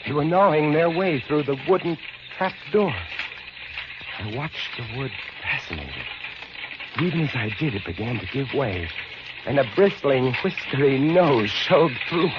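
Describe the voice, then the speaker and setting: An actor playing a haunted lighthouse keeper, narrating a siege in theatrical, deliberate 1950s radio drama style. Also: male voice, fairly high pitch, animated, background noise. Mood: tense, dread. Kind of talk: 1950s radio drama acting, suspenseful first-person narration. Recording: dull old radio recording with loud music.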